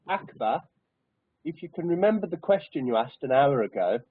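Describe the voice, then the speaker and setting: A man's voice: on the high side, animated, unhurried, husky, no echo. A man hosting a call-in discussion show, casual and joking with callers. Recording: tinny phone-like line, faint hiss.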